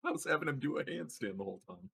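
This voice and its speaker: A man's voice: fairly high pitch, very animated, rapid-fire, gravelly, pushed and bright. A man chatting casually as a player in a tabletop game. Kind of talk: casual chat.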